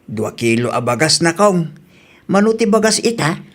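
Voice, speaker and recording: A man's voice: fairly high pitch, big pitch swings, moderate pace, fairly bright tone, exaggerated voice. A man with a Filipino Ilocano accent playing a poor, mild old scrap collector in a radio comedy-drama. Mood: humble, grateful.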